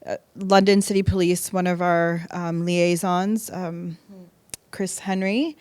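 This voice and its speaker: A woman's voice: fairly low pitch, fairly even intonation, slow pace, a little mellow, clean tone. A woman chatting informally as an interview guest, tagging points with short questions. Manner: informal, ends points with "right?". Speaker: podcast guest, college staff member. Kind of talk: casual chat in an interview.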